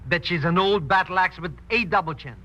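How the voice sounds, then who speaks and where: High, sharp, excitable comic sidekick. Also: male voice, brisk. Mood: grumbling. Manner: jittery, wisecracking, exclamatory. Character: excitable, grumbling comic male sidekick from New York. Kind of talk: 1940s radio acting.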